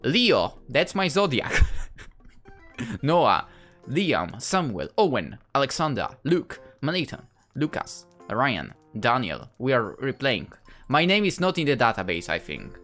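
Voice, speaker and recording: A man's voice: medium pitch, wildly swooping pitch, slow pace, a bit bright, faint music behind. A young man from Poland with a Polish accent, playfully chatting and reading game dialogue aloud in a casual let's play. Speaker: gaming YouTuber.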